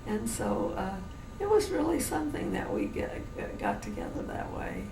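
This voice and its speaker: An elderly woman from New Orleans with a general American accent, slowly reminiscing in an interview with many restarts. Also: high-pitched, huge pitch swings, vocal fry. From New Orleans, USA. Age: older. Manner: slow, meandering, with many restarts. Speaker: interviewee, great-grandmother. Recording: noisy, roomy home recording from a distance.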